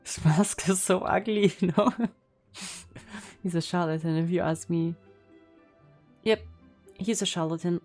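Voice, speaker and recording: A woman reacting casually to a game she is streaming. Her voice is slightly low and soft-edged, and she thinks aloud in an informal, joking way. Faint music plays behind her. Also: steady tone, unhurried, long pauses. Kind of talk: casual reaction commentary during gameplay.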